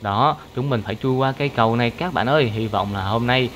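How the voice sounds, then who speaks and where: A man with a mid-pitched voice narrates a kids' cartoon, speaking to viewers as friends. Vehicle noise is clearly heard behind him. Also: fast, a bit bright. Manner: addresses young viewers as friends.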